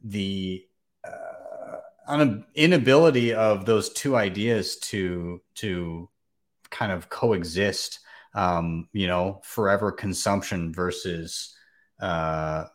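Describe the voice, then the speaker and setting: A man with a fairly low voice hosts a relaxed podcast discussion. He wanders through his points with plenty of ums, uhs and restarts. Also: slow. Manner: rambling, many fillers and restarts. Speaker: podcast host. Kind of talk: casual podcast chat.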